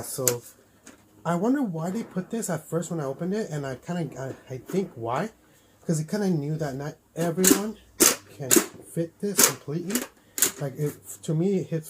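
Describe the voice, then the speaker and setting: Low-pitched man, casual unboxing chat. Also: background noise. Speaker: toy collector reviewing a helmet.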